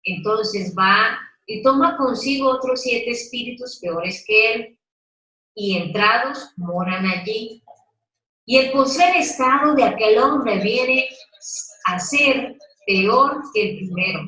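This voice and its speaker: Woman, unhurried Bible teaching, crisp bright tone, echoey room, a bit away from the mic. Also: on the high side, lively intonation, far from the mic. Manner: slow, questioning, coaxing listeners to take part. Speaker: Bible study leader.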